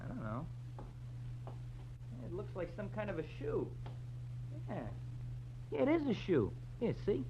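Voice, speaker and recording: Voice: medium pitch, wildly swooping pitch, a little slow, pauses often. A performer playing an eager, friendly young newsboy, chatty and gee-whiz, in 1940s radio style. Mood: eager, curious. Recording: muffled old radio recording, faint hum.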